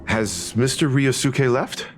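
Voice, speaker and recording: An actor voicing a courteous, steady gentleman who speaks in a polite, measured and formal way. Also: medium pitch, natural voice. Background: game music.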